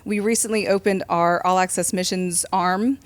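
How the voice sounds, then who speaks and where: A woman's voice: lowish voice, fairly even intonation, moderate pace, dry recording. A woman hosting a church podcast, asking guests questions in a friendly way with lots of "um"s and "mm-hmm"s. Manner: friendly interviewer, many fillers and affirmations. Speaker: podcast host.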